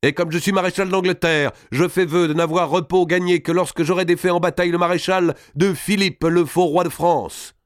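Proud military lord voiced by a male narrator, raised pitch, resolute, declamatory, audiobook tale, dry close sound. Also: steady tone, a little fast, hardly any pauses, dry recording, lightly acted. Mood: resolute, defiant. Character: proud English marshal swearing a martial vow.

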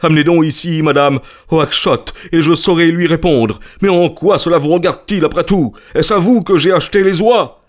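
A man voicing a furious, surly goose dealer in an audiobook, shouting brusquely in exasperation. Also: fairly high pitch, moderate pace, voice pushed up, lightly acted. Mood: furious, exasperated. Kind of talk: audiobook character voice.

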